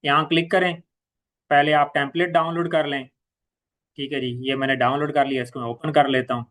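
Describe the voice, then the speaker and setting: Male software trainer, Pakistani accent, slightly high voice. Informal, instructional walkthrough delivery, repeats key points, drops in English terms. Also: level tone, a little fast. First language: Urdu. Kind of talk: informal step-by-step software tutorial.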